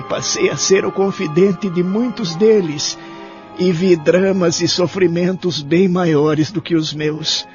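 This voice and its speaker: An actor playing a lonely, sorrowful elderly care-home resident in an audio drama, speaking in a measured, plaintive way. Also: male voice, fairly high pitch, natural voice. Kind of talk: audio drama narration and dialogue. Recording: dull lo-fi audio with music behind.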